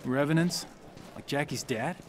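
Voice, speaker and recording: A man's voice: on the high side, normal speed, noisy background, close to an everyday voice. A man playing a confident, studious young warrior monk in a video game, blunt and a bit cocky. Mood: dry, challenging.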